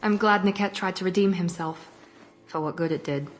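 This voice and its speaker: A woman plays a cool, guarded space operative. Her voice sits a little low, composed and reserved. Soft music runs faintly under the game dialogue. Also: normal speed, close to an everyday voice. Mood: reserved, wistful. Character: cool, guarded human female operative, quietly grateful. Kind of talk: video game voice acting.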